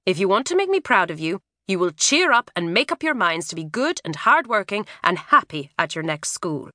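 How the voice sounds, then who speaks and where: A woman's voice: lowish voice, big pitch swings, moderate pace, pushed and bright, no echo, clean studio sound. A woman voicing a stern, pipe-smoking middle-aged father who lectures his daughters.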